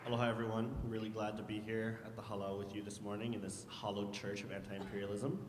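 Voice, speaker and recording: A man's voice: little pitch movement, fast, over a noisy crowd. A man speaking as a panelist, casual and joking, often repeating words, in a hall. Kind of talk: panel talk. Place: hall, a former church. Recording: echoey hall with faint rumble.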